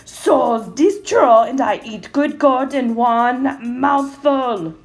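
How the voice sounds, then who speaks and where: A woman voicing a mean, blustering male troll from an island in a storybook, growling out slow, gruff lines. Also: high-pitched, lively intonation, faint music behind, heavily acted. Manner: gruff growling Caribbean-style patter.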